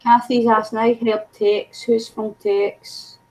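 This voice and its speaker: A woman's voice: on the high side, level tone, takes it slowly, mellow, low-quality recording. A woman co-hosting a live stream, chatting in a laid-back way and reading out viewer comments. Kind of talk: casual chat.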